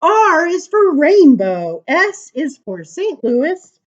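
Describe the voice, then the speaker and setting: Expressive, high-voiced woman reading aloud. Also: highs cut off. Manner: informal, with dramatic emphasis and drawn-out words. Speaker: library story time reader. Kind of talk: expressive read-aloud of children's poems.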